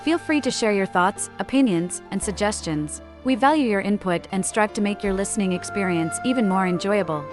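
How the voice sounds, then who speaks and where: A woman with a medium-pitched voice speaks over clear background music. She reads scripted promotional narration in the role of a programme announcer. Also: fairly quick, hardly any pauses.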